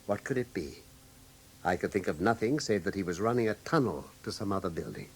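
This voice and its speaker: An actor in a British radio drama playing a coolly analytical gentleman detective with an English accent and a precise, assured manner. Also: low-pitched, sing-song, fairly quick, slight background noise. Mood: explanatory, assured.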